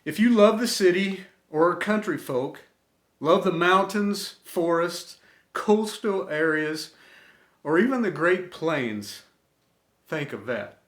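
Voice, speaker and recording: Slow, earnest man in an echoey room. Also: high voice, animated, fairly bright tone. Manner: earnest, deliberate, phrase-by-phrase delivery with pauses. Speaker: storyteller and host of an inspirational series. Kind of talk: scripted inspirational tribute reading.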